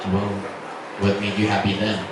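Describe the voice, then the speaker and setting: A man playing a gentle, earnest, lovestruck young man in a dramatic reading of romance dialogue. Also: on the low side, monotone, normal speed, natural voice. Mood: encouraging. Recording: echoey, noisy room from far off.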